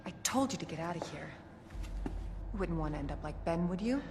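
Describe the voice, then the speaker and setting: Low-voiced, aloof secret agent. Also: female voice, lively intonation, fairly quick, noisy background. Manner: curt, dismissive. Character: cool, secretive female federal agent, brusque and aloof. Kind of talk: video game cutscene acting. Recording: long echoing reverb.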